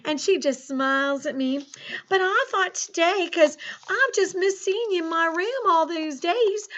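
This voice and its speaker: A folksy, chatty farmer played by a woman leads children through a lesson in a high, playful voice. The delivery is slow and exaggerated, with a country drawl and an easily sidetracked manner. The recording sounds dull and lo-fi. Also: fairly bright tone, exaggerated voice. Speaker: school teacher leading spelling practice.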